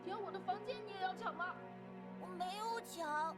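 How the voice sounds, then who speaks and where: Earnest high-pitched animated little boy. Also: normal speed. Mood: upset. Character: young boy in an animated fantasy drama, earnest and emotional. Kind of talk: Chinese animation voice acting. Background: loud soundtrack music.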